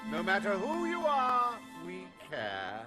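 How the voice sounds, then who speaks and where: An actor voicing a foppish, greedy, scheming industrialist in a video game, pompous and drawling, with oily contempt for the poor. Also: male voice, sits high, wildly swooping pitch, slow, sharp tone, long reverb tail, soft background music, heavily acted. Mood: condescending. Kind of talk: theatrical video game dialogue.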